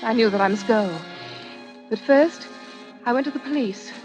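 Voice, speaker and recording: A woman's voice: fairly high pitch, moderate pace, natural voice. An actress playing a refined, earnest young woman in a vintage radio suspense drama, with formal, dramatic diction. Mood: tense, dread. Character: anxious, refined young woman confiding a terrible suspicion. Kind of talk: 1940s radio suspense acting and narration. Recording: old-time radio with music behind.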